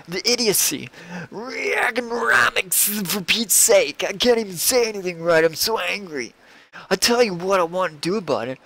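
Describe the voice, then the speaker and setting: A man's voice: high voice, a bit bright, low-quality recording. A man playing an angry, neurotic television writer who rants indignantly and trips over his words, comic-book style. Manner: indignant, sputtering. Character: angry, neurotic male television writer, ranting and self-pitying.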